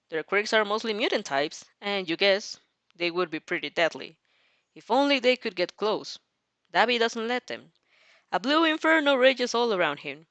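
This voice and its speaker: A woman reads a story aloud with lively, swinging intonation. Her delivery is expressive and informal, slipping between narration and her own casual reactions. The sound is close and dry. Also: mid-range voice, no echo. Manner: animated, breaks off to react and restart sentences. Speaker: fan fiction reader and reactor. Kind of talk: expressive audio reading of fan fiction with casual asides.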